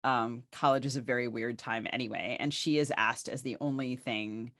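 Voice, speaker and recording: Thoughtful woman podcast co-host, halting delivery. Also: rumbling low, fairly even intonation, fairly bright tone. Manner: halting, searching for words. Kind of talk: thoughtful literary conversation.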